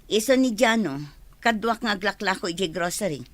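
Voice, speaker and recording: A woman's voice: medium pitch, expressive, brisk, fairly bright tone, dry recording, close to an everyday voice. A woman playing an aggrieved, defensive village woman in a radio drama, with an Ilocano accent. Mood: irritated, worried.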